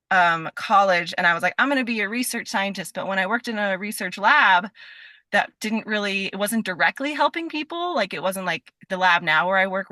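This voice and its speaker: Bright-voiced woman chatting as a guest. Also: medium pitch, no echo. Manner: chatty, animated storytelling with quoted asides; giggly, breaks into laughter. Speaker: podcast guest. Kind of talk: casual interview chat.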